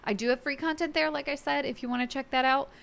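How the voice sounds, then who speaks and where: A woman talking casually and enthusiastically to viewers in an informal, slangy opinion vlog, like an excited fan. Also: high voice, fast, creaky. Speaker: wrestling fan vlogger, host.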